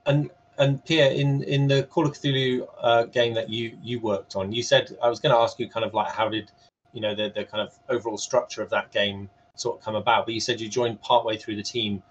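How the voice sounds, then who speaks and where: A man's voice: mid-range voice, fairly even intonation, brisk, slightly rough. A man moderating a panel conversation over a video call, asking questions in a hesitant, rambling way. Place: home room on a video call. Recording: dull compressed video-call audio.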